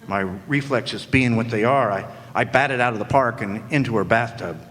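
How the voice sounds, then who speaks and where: A man's voice: mid-range voice, moderate pace, fairly bright tone, close to an everyday voice. A man playing a wisecracking, world-weary private eye in old-time radio noir style, narrating with sardonic slang. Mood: brisk, flippant. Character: wisecracking, world-weary hard-boiled male private detective. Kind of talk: 1940s radio noir acting, first-person narration. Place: live stage performance in a hall. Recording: echoey hall, background noise.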